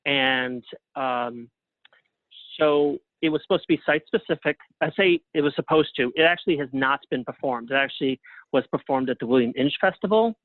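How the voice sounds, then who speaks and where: A man giving casual interview answers as a guest over a phone line, in a halting way with frequent restarts. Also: mid-range voice, no room sound. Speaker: guest, playwright and librettist. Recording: thin phone-line sound.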